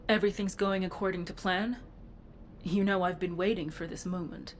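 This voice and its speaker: An actress playing a determined, cool-headed infiltration agent in a video game, controlled and businesslike in delivery. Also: female voice, fairly low pitch, fairly even intonation, moderate pace, a little hiss or hum, close to an everyday voice. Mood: resolute. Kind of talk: dramatic video game dialogue.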